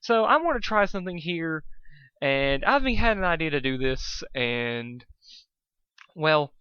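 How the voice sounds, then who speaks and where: A man's voice: fairly high pitch, very animated, a little slow, pushed and bright, smooth, no echo, lo-fi sound. A man, an amateur reader who talks casually and stumbles in a self-deprecating way. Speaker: amateur fan fiction reader. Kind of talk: casual rambling introduction and informal story reading.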